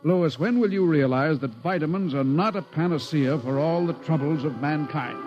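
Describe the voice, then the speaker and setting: An actor playing a wry, grumbling ship's doctor in a 1950s radio drama. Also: male voice, a little high, normal speed, natural voice. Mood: matter-of-fact, dry. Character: dry, grumbling male ship's doctor, wryly pessimistic. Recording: dull vintage radio recording, music behind.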